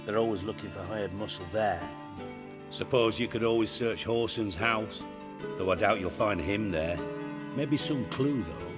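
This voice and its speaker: An actor playing a cunning, middle-aged ex-spymaster turned crime boss in a video game, gruff and blunt, with a wry, salty tongue. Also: male voice, lowish voice, normal speed, close to an everyday voice. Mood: measured, wry. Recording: reverberant hall, music behind.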